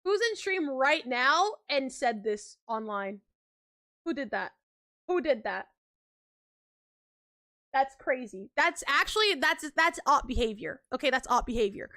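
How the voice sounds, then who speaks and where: High-voiced chatty young woman streamer. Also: animated, measured pace, pauses often, bright, forceful tone. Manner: chatty, rambling, laughs often, heavy filler words. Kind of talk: casual live-stream chat.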